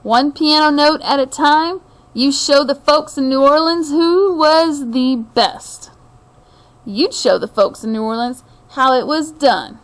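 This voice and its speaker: A middle-aged woman hosts a picture book read-aloud for children in a high-pitched voice. She takes her time, telling the story expressively with deliberate phrasing and speaking directly to her listeners.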